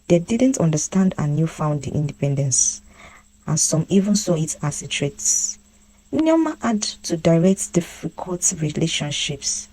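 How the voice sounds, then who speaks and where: A woman storyteller with a low-pitched, soft and mellow voice. She narrates a scripted folktale. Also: low-quality recording.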